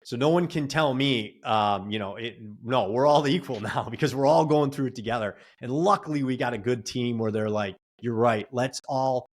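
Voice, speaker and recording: An earnest man talks through his experience in long, run-on stretches at a mid-range pitch. He offers advice and lessons plainly, in the easy flow of a casual podcast interview. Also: normal speed, few pauses. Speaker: real estate investor and coach.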